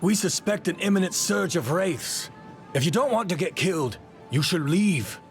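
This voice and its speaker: An actor playing a brusque, impatient city gate guard in a video game, curtly turning people away. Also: male voice, high voice, animated, normal speed, soft background music. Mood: curt, warning. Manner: curt, impatient. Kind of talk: video game dialogue acting.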